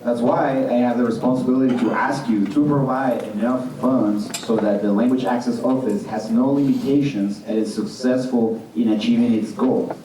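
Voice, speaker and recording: A man interpreter reading a translated testimony aloud at a city hearing, steady and careful. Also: medium pitch, unhurried, a little hiss or hum. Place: public meeting hall. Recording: echoey hall, distant mic.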